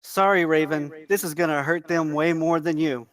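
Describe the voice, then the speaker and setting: A man's voice: a little high, steady tone, moderate pace, close to an everyday voice. An actor at a table read playing a scrappy, anxious male photographer, urgent and protective. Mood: grumbling. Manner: urgent, pleading.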